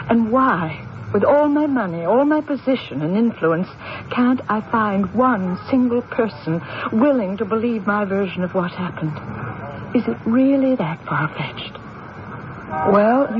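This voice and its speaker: Radio mystery role: wealthy accused widow played by a woman, formal, guarded, halting, on a dull, noisy vintage recording. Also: mid-range voice, natural voice. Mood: weary, bitter. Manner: measured, guarded, formal, halting when recounting. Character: poised wealthy widow accused of killing her husband. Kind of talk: old-time radio mystery acting.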